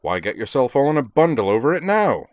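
A plucky young toff, played by a male narrator, with a comic upper-class English accent. His pitch jumps up as he protests in a mannered, foppish way, in a dry storybook recording. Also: sing-song, a little fast, no room sound, natural voice. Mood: indignant, plucky. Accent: comic-opera upper-class English. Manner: mannered, indignant.

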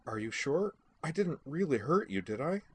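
A man voicing a worried teenage girl student, pitched well up from his own voice. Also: very animated, normal speed, slightly rough.

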